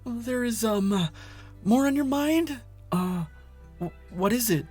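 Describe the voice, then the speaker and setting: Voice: mid-range voice, lively intonation, unhurried, darkish tone, soft background music. A performer playing a nervous, kind lab assistant in an audio roleplay drama, hesitant and stammering. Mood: flustered.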